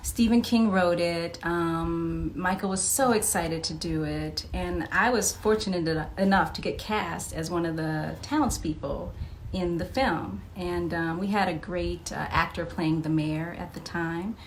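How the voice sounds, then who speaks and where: A woman chatting casually as a podcast guest, telling personal anecdotes in an expressive way. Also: fairly low pitch, measured pace, slight background noise. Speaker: interview guest. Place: live podcast taping.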